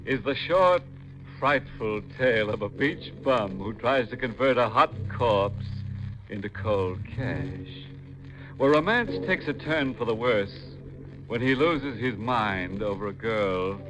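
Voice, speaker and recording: An actor playing a ghoulish, gleefully macabre horror host on 1950s radio, with a sardonic, drawn-out, punning delivery. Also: male voice, on the low side, background noise. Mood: sly, macabre.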